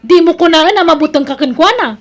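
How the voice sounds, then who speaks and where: A woman's voice: extremely high pitch, expressive, a little fast. A woman playing a bossy, spiteful younger sister-in-law in a radio-style drama, accusing in a Filipino accent. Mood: spiteful, taunting.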